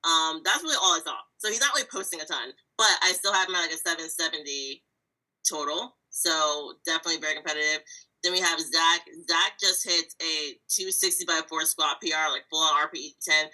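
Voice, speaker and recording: A woman's voice: low voice, brisk, sharp tone, low-quality recording. A woman from Virginia co-hosting a casual sports podcast, running through predictions in a chatty way with lots of "like" fillers. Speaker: podcast co-host.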